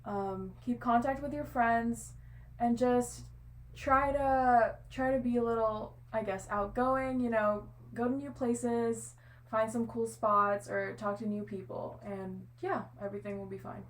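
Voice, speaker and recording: A woman's voice: on the high side, fairly even intonation, measured pace, rough recording. A young woman from Singapore, a guest in a casual interview, answering thoughtfully and hedging often.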